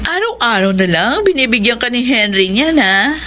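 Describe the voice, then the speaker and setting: A woman's voice: a little high, very animated, moderate pace, bright, forceful tone, a little hiss or hum. A woman playing a chatty, loyal best friend in a Filipino radio drama, teasing and coaxing with a Filipino accent.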